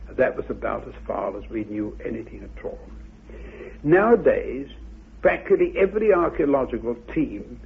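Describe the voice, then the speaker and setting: A man with a gravelly, husky voice giving formal, deliberate expert commentary on a dull old radio recording. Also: mid-range voice. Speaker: commentator, researcher.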